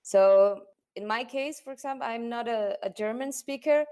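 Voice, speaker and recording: A woman's voice: on the high side, moderate pace, darkish tone, clear, resonant tone. A woman whose first language is Spanish, answering interview questions as a UX designer, often repeating words as she searches for the right phrasing. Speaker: UX designer guest. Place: lives in Germany.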